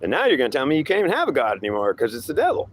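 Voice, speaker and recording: A man's voice: medium pitch, wildly swooping pitch, rapid-fire, slight background noise. A man acts out a horned devil who passes himself off as God. He taunts and threatens in a scornful lecture, circling back to goad again and again in a homemade dramatic dialogue. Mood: mocking, stern. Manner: scornful, goading, repetitive lecturing.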